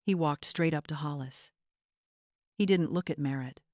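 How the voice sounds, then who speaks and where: Low-pitched woman narrating, very dry sound. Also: normal speed, a little mellow, no room sound. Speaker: audiobook narrator. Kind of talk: dramatic scripted story narration.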